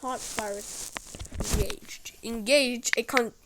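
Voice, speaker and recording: A woman's voice: on the high side, fairly even intonation, takes it slowly. A woman improvising a story in a hesitant way, repeating herself and trailing off. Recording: noisy amateur recording with clicks.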